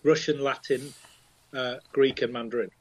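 A man's voice: medium pitch, steady tone, normal speed, poor audio. A man playing a tabletop game, hesitant and stumbling over his restarts. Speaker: player. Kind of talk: casual tabletop play.

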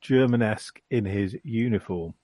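A man playing a tabletop game, speaking mildly and quietly wry. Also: fairly low pitch, normal speed, breathy edge, no echo. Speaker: player. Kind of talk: casual tabletop game chat.

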